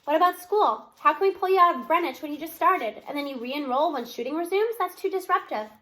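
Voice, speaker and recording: A woman reader puts on a brisk, inquisitive mother from a children's novel. Her high voice is practical and full of questions for her daughter. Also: expressive, bright, forceful tone.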